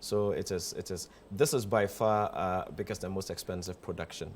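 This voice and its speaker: A low-pitched Ghanaian man talks as a guest in a casual television interview. He repeats phrases to make his point. There is some noise behind his voice. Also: fairly even intonation, moderate pace. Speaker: interview guest, theatre producer. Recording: clear background noise.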